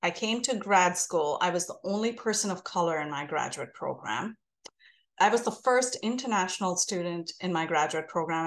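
A woman's voice: fairly low pitch, a bit bright, poor audio. A woman in her forties from India with an accent between Indian and American, telling her story thoughtfully as an interview guest. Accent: mixed Indian and American. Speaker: podcast guest. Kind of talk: reflective interview talk.

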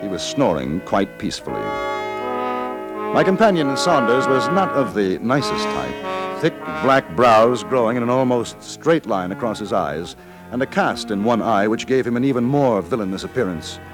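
An actor playing a courteous, cool-headed gentleman adventurer, narrating and acting formally in a 1950s radio drama. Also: mid-range voice, big pitch swings, music in the background. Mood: dry storytelling. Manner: polite, formal, measured.

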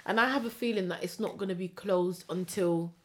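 A woman co-hosting a podcast, chatting in a relaxed, easygoing way with lots of agreeing yeahs. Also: fairly low pitch, fairly quick. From London, UK. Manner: relaxed, easygoing, fills in with yeah and mm-hmm. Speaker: podcast co-host, personal trainer. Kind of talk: casual chat.

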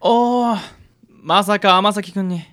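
A woman playing a suave, flirtatious handsome gentleman with a theatrical, courtly manner in a comedic radio drama. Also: mid-range voice, slow. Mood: charmed.

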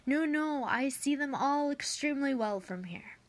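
A woman's voice: on the high side, flat delivery, unhurried, a bit bright, dry recording. A woman voicing a frightened old male dentist in a storybook poem, pleading and panicky.

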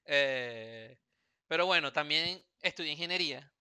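A man's voice: a little high, a little slow, sharp tone, smooth, no room sound, studio quality. A Venezuelan man in his thirties hosting a casual podcast, joking and rambling with many fillers and restarts. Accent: Venezuelan Spanish. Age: 30s. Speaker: podcast host. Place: Houston, United States.

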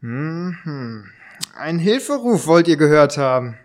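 A man playing a sly, mock-stern gentleman who interrogates intruders in an amateur audio drama, in a halting, drawn-out way. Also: a little high, sing-song. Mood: sly questioning.

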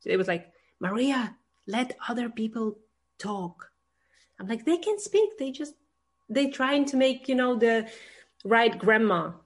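A woman's voice: a little high, lively intonation, measured pace. A woman from Russia, speaking as an interviewer in a casual conversation.

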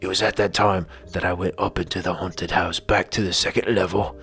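A man's voice: low-pitched, little pitch movement, brisk, a bit bright, faint music behind, voice pushed down. A man playing a bumbling hard-boiled detective who narrates his own case in a rambling, mock-dramatic way, in a parody radio drama. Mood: hushed, solemn.

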